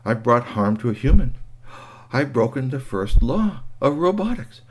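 A man's voice: mid-range voice, sing-song, normal speed, pitched above the performer's own voice. A man voicing a small, cocky but kindhearted toy robot in an oral children's story, with a giddy, exclamatory manner. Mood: remorseful.

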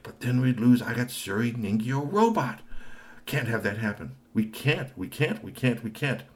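A man's voice: medium pitch, expressive, moderate pace, pitched above the performer's own voice, lightly acted. A man voicing a proud, hot-tempered male robot inventor who talks fussily and repeats himself in bursts. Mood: indignant. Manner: fussy, boastful, repeats words in bursts.

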